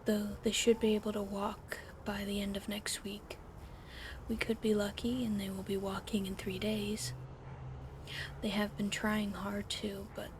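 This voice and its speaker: A woman whispering an ASMR roleplay in a soft, soothing, coddling way over an audible fan hum. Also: mid-range voice.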